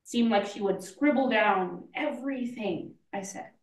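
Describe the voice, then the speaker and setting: A woman playing a reflective painter looking back, speaking in measured short phrases with pauses, in a staged play reading. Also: fairly high pitch, lightly acted. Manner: slow, measured, pausing between short phrases. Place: indoor room, live play reading. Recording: echoey room, far from mic.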